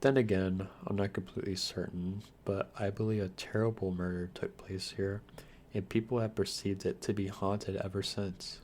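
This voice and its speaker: A man's voice: low voice, flat delivery, moderate pace, a little mellow, slight background noise, natural voice. A man playing a lonely, guarded undead vampire, speaking straight to the listener in a wry, theatrical ASMR roleplay.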